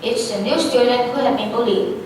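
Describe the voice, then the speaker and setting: A woman's voice: brisk, a little mellow. A young woman reading scripted narration on stage, heard from a distance in an echoey hall. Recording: echoey hall, distant mic, faint rumble.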